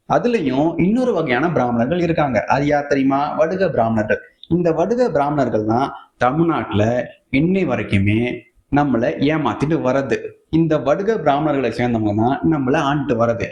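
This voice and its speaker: Tamil nadu guy doing scornful political commentary, slightly high voice, combative and colloquial, roomy echo. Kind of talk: opinionated political commentary to viewers.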